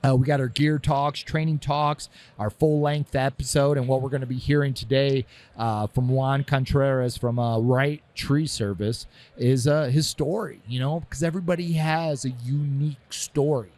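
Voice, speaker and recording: A man hosting a podcast interview, at an ordinary middle pitch. He talks in loose, joking banter and likes to needle his guest. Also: moderate pace, hardly any pauses. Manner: joking, pushy, energetic banter. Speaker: podcast host.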